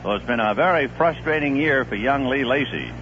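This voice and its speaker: A man calling baseball play-by-play on a 1970s radio broadcast, in a steady, conversational manner. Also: brisk. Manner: steady, conversational play-by-play with live pitch calls. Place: stadium broadcast booth. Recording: muffled 1970s AM radio broadcast with faint hiss.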